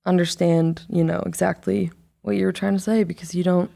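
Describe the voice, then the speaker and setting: A young woman, an artist, talking casually in an interview, rambling and halting with many fillers and restarts. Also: lowish voice, little pitch movement, creaky, mellow. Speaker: album artist, interview guest. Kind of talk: casual conversation.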